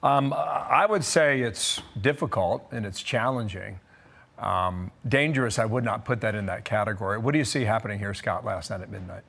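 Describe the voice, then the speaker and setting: Gravelly male news anchor. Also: on the low side, moderate pace, fairly bright tone. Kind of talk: broadcast news interviewing.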